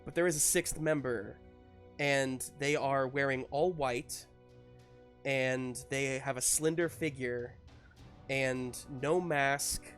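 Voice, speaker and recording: A man improvising narration as game master of a tabletop game, piecing scenes together haltingly. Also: medium pitch, fairly even intonation, a bit bright, faint music behind. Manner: halting, searching for words in pieces.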